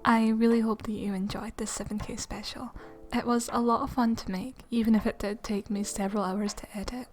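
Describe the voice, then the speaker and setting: A woman hosting an ASMR channel, giving a soft-spoken outro to listeners. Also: on the high side, fairly even intonation, brisk, few pauses. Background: music.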